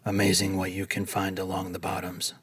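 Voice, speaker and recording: A man voicing a dying old lizard-man, sly and proud, with a husky voice and a theatrical delivery in a dramatized fantasy story. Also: deep voice, monotone, brisk, a bit bright. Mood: grim, knowing.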